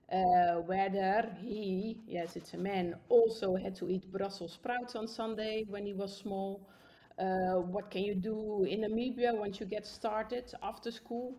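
Brief: Dutch-native woman host, chatty and upbeat, gravelly fry in the voice, faint hiss behind. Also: medium pitch, mellow. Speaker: podcast co-host. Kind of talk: casual podcast conversation.

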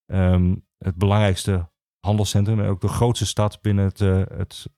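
A man explaining things as a guest in an interview, in a halting manner with many pauses. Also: low-pitched, little pitch movement, warm tone, no echo, studio quality. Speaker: regional archivist, podcast guest.

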